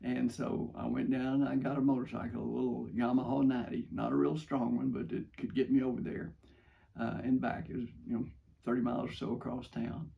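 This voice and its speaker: Older man, American accent, gravelly fry in the voice, casual storyteller, modest and rambling. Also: mid-range voice, little pitch movement, a little fast, highs cut off. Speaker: father telling stories from his youth. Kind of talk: casual first-person storytelling.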